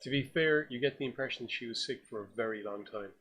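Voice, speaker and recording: A man's voice: medium pitch, fast, a bit bright, slightly rough. A man co-hosting a casual movie review podcast, chipping in short quips and finishing his partner's sentences. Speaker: podcast co-host.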